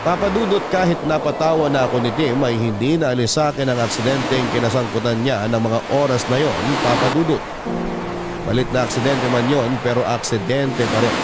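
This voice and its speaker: A man with a medium-pitched voice serves as narrator, reading a personal love story from a script. A clear music bed plays behind him as he tells it in the first person.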